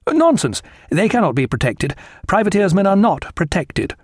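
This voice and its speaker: A man acting a sneering young naval officer, pitched high. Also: very animated, moderate pace, husky, no echo, clean studio sound, exaggerated voice. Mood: scornful. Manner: clipped, officious, scornful. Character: young sneering naval lieutenant, haughty and petulant.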